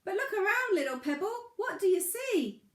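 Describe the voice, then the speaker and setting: A woman voicing a small, wise crayfish in a children's story, gentle, easygoing and coaxing. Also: very high voice, big pitch swings, moderate pace, roomy sound, voice pushed up, exaggerated voice. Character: kindly little crayfish, wise, easygoing and encouraging.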